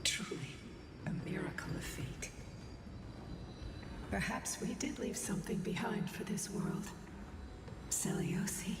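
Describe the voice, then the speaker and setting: Whispery ancient guardian beast. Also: female voice, medium pitch, expressive, far from the mic. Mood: reverent. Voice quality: breathy, whispery. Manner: grave, measured storytelling. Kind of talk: video game dramatic dialogue. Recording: voice in long echoing reverb, music behind. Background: game music.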